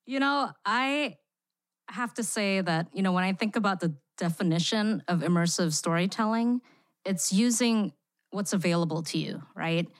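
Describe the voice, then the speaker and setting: A woman's voice: medium pitch, normal speed, no echo. A woman raised in Singapore, a writer speaking as a podcast guest in a reflective way, with many "you know" fillers. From born in Indonesia, raised in Singapore. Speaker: guest, immersive experience writer. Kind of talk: podcast interview.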